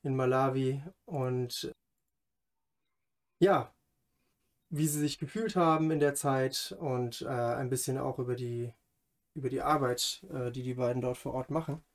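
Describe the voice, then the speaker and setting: A man hosting a conversational podcast, speaking in a hesitant, halting way. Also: medium pitch, steady tone. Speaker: podcast host.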